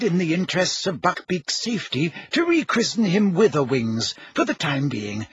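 A man voicing an elderly male wizard who speaks in a measured, courteous, dryly amused way. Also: on the high side, a bit bright, husky, heavily acted. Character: elderly male wizard headmaster, calm and wry.

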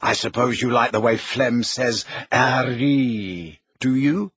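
A man's voice: lowish voice, normal speed, a bit bright, breathy edge, dry recording, muffled recording. A man voicing a sly, outspoken teenage girl with a cutting, mocking manner in an audiobook story. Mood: scornful.

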